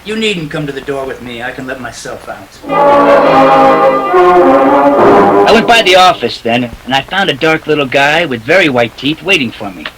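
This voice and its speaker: A man's voice: medium pitch, expressive, fairly quick, music in the background, lightly acted, rough recording. A man acting a wisecracking, cool private detective in a 1940s radio play, clipped and wry. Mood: brisk, matter-of-fact.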